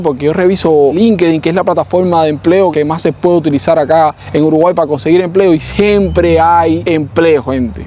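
A man with a Cuban accent, a software developer hosting a casual vlog, who chats loosely and keeps asking if you follow him. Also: on the high side, slight background noise. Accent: Cuban Spanish. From Cuba. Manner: chatty, often adds "¿me entiendes?". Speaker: software developer and channel host.

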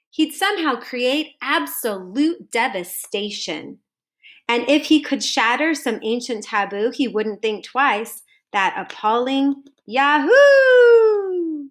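A woman reading a children's storybook aloud to students like a teacher, in a deliberate, sing-song manner with drawn-out phrase endings. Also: high-pitched, fairly bright tone. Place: home kitchen, indoors.

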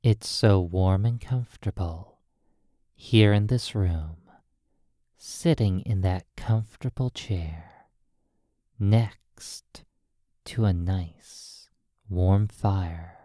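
An ASMR hypnosis roleplay by a sleep therapist speaking in a hushed, soothing manner, in drawn-out phrases with long pauses. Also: low-pitched, dry recording, studio quality.

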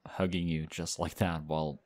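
A man voicing a friendly, modest young male team captain in an audio roleplay, talking in a relaxed, chatty way. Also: low-pitched, moderate pace, close to an everyday voice. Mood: excited, friendly.

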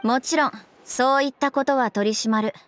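Strict lady cop in a game, formal and no-nonsense, voice a bit high. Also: fairly quick, a bit bright, dry recording. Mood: stern, official. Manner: formal, clipped, businesslike. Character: stern, principled young female police officer, level-headed. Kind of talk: Japanese game voice acting.

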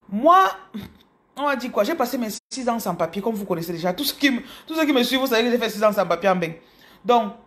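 Cameroonian woman telling her story. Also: fairly high pitch. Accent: Cameroonian French. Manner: animated, repeats words, addresses viewers directly. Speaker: business coach. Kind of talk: casual motivational storytelling. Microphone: phone camera held close.

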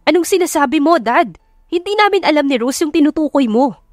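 A woman's voice: sits high, expressive, a little fast, no echo, clean studio sound, soft background music, pitched above the performer's own voice. A woman voicing an earnest, emotional young man in a romance audiobook, switching between Tagalog and English. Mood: shocked, confused. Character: Samuel, earnest wealthy young man defying his parents. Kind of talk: audiobook romance drama acting.

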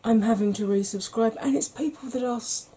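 A middle-aged woman talks in a soft, unhurried ASMR manner, chatting on about all kinds of things. Her voice is mellow and slightly husky, with a relaxed warmth. She sits close to the camera in a small room at home. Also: a little high. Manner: gentle, rambling, chatty. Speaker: beauty YouTuber. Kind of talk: soft-spoken ASMR makeup chat.

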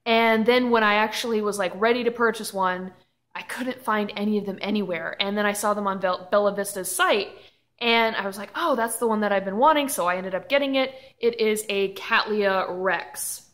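A woman's voice: mid-range voice, steady tone, normal speed, a bit bright. Chatty young woman with a Southern accent. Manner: enthusiastic, rambling, laughs easily. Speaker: orchid channel host. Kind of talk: casual chatty vlog. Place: home room indoors. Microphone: webcam at desk.

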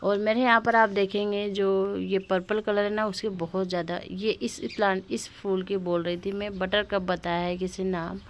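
A woman's voice: mid-range voice, flat delivery. Chatty woman touring her terrace garden. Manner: chatty, unhurried, with many fillers, addresses viewers as friends. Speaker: gardening vlogger. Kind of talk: casual garden tour narration. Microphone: phone camera held while filming.

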